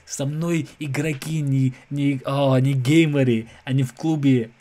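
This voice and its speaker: A young man from England, a native English speaker with an English accent, giving casual reaction commentary in an animated, slangy way with English interjections. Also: medium pitch, measured pace, very noisy. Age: young adult. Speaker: reaction video host. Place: small room at home. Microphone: desk microphone close in front, headphones on.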